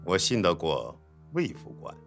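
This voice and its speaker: A domineering army commander in a period drama. His deep, bright, forceful voice swings widely as he bargains and bullies. Faint music plays behind him. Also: wildly swooping pitch, a little slow, exaggerated voice. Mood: sly, bargaining. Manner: commanding, haggling, bullying. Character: bossy, scheming, threatening male military regiment commander. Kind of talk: Chinese TV drama acting.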